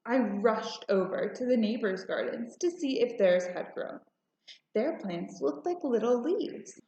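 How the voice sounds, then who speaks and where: A woman narrating a children's storybook aloud in a deliberate, expressive way. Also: on the high side. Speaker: storybook reader. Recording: roomy, slightly muffled home recording.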